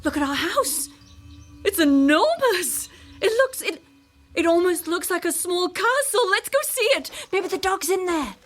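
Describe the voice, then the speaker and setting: An actress playing a headstrong, demanding wife in a radio drama, with a sarcastic, bossy manner. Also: female voice, extremely high pitch, lively intonation, unhurried, faint music behind. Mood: delighted. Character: headstrong, demanding, sardonic wife chasing a perfect life.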